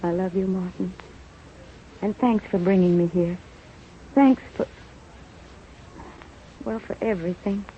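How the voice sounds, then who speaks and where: An actress playing a brave, self-effacing, devoted wife and assistant to a doctor in an old-time radio drama. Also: female voice, mid-range voice, fairly even intonation, measured pace, long pauses, dark tone, lightly acted. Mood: tender, wistful. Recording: muffled, faintly noisy vintage radio recording.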